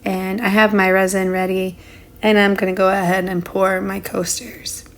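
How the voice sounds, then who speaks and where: A woman's voice: medium pitch, flat delivery, moderate pace, a little hiss or hum. A woman narrating a casual craft tutorial in a chatty way, her voice a little under the weather. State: under the weather, recovering lost voice. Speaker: craft channel host.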